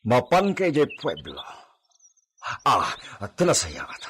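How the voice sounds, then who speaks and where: Man acting a harsh, domineering ranch master, animated and forceful. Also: mid-range voice, slow pace, slightly rough, heavily acted. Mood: commanding, curt. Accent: Filipino, Ilocano. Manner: imperious, loud outbursts, scolding. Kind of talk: radio drama acting.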